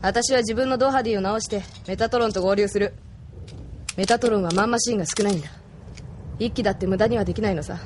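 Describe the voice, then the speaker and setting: An actress playing a tough, blunt female mech pilot with a street delinquent's rough way of talking, in an anime audio drama. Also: mid-range voice, fast talker, darkish tone, a little hiss or hum, natural voice. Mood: dry, dismissive. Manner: rough, curt, frank speech.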